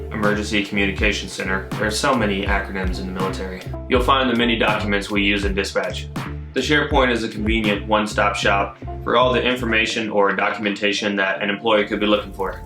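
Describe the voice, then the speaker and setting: Man, low voice, explainer narration, informal asides, music behind. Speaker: military fire dispatcher. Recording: echoey room, music behind.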